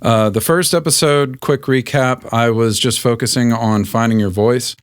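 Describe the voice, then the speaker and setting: Un hombre con voz grave al hablar, que presenta una lección informal de canto con tono relajado, muchas muletillas y un leve acento del sur de Estados Unidos. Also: male voice, moderate pace, clear, resonant tone. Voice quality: deep speaking voice. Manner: relaxed, self-deprecating, with many fillers and restarts. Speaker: host. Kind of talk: casual singing lesson.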